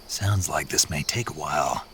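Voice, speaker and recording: Confident jungle soldier for a game: very low, gravelly, terse, with clear noise and insects behind. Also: male voice, normal speed. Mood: terse, assured. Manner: terse, gruff. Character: gruff, confident male soldier on a jungle mission. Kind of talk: video game radio dialogue acting.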